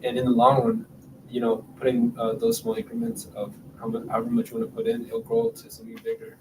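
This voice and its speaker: A man's voice: medium pitch, wildly swooping pitch, quick pace, gravelly. A young man from Rensselaer, New York, on a youth panel, explaining things in a casual way. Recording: distant mic with background noise.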